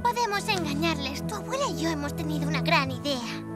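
Una actriz que interpreta a una niña de montaña entusiasta, curiosa y formal en una serie de dibujos animados. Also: female voice, high voice, lively intonation, a little fast. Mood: earnest. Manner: inquisitive, pleading, earnest. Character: eager, earnest little girl from the mountains. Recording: loud music behind.

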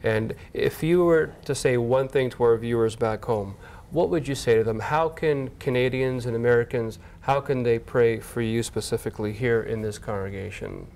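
A friendly, energetic man in his thirties hosts a casual on-location interview. His voice sits at a middle pitch, and he chats freely with easy laughs. It is recorded on a lapel mic in an indoor church hall. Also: normal speed, gravelly, few pauses. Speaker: TV host interviewer.

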